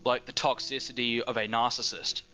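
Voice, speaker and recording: A man's voice: medium pitch, little pitch movement, normal speed, sharp tone, faint music behind. An eighteen-year-old Australian man chatting casually as a guest, rambling with lots of fillers and restarts. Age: teens. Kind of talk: casual chat.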